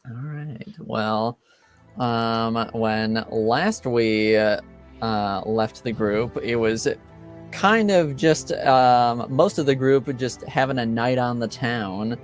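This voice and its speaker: A man speaking casually and haltingly as game master, welcoming listeners and recapping a tabletop game. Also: medium pitch, soft background music.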